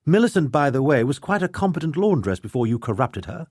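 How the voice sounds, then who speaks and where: A man narrating a story, putting on the voice of a forceful, sharp-tongued young noblewoman who lectures crisply. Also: on the high side, very animated, fast talker, no echo, clean studio sound, voice pushed up.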